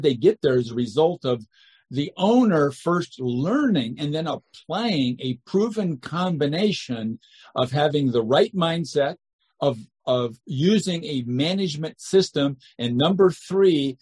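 A man hosting a podcast interview, upbeat and encouraging, quick to praise his guest. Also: medium pitch, a little mellow, slightly rough. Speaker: podcast host.

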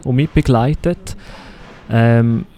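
A man hosting a casual interview, relaxed and hesitant with fillers. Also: medium pitch, slow. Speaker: podcast host.

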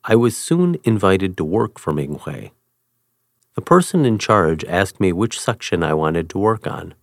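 A man giving a scripted reading of a first-person article in a measured, even tone. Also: low-pitched, no room sound, clean studio sound. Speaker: reader.

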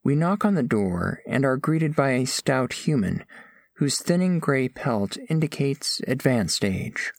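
A man playing a gentle, earnest alien scholar who narrates audio fiction in measured, formal, precise language. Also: mid-range voice, very animated, vocal fry, no echo, studio quality. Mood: reflective. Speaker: first-person narrator of a story.

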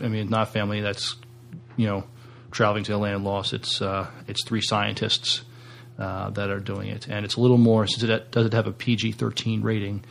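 A middle-aged man co-hosting a podcast in casual, halting discussion, often repeating words. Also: on the low side, gravelly, fairly bright tone, a little hiss or hum. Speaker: podcast co-host.

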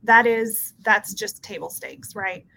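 A woman's voice: medium pitch, takes it slowly, vocal fry, pushed and bright, a little hiss or hum, rough recording. A woman in her thirties from Peru, talking earnestly as an interview guest and often checking in with "right?". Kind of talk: casual interview talk.

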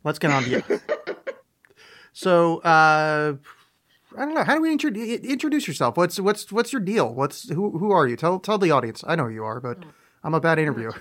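A man's voice: on the high side, sing-song, a little fast, fairly bright tone. A man hosting a podcast in casual chat, joking and self-deprecating as he rambles. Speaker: podcast host.

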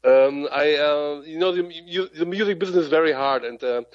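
A man's voice: a little high, fairly quick, no echo. A man with a German accent, a guest composer speaking English as a second language, hesitant and searching for words. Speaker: guest, music producer and composer. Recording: dry, dull lo-fi sound.

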